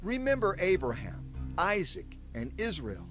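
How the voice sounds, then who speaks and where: Impassioned Moses, widely swooping pitch. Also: male voice, huge pitch swings, measured pace, full character voice. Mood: pleading. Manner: dramatic, declamatory, impassioned. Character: Moses, fervent male prophet and leader of his people. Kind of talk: dramatized scripture audio acting. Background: music.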